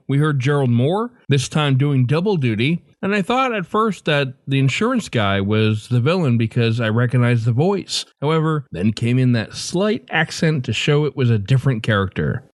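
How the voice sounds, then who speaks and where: Medium-pitched male podcast host. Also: no room sound, clean studio sound. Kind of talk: casual scripted commentary.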